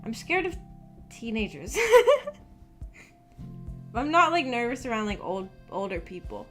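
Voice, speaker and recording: A woman's voice: fairly high pitch, big pitch swings, slow pace. Casting: young woman, livestreamer, hesitant and shy, meandering casual chat, music playing underneath. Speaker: streamer. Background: background music.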